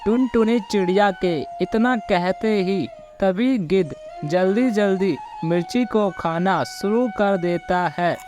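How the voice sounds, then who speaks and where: Male kids' story narrator, higher register, unhurried, over loud music. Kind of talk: scripted children's story narration.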